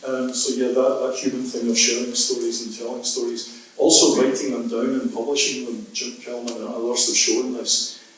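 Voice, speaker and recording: A Scottish man in his fifties speaks in a steady, level tone with little rise and fall. He talks in a thoughtful, measured way, telling anecdotes as a writer on a panel. His voice comes from some distance away and rings around a very reverberant room. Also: medium pitch. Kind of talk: reflective conversation at a literary event. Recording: echoey room, mic far off.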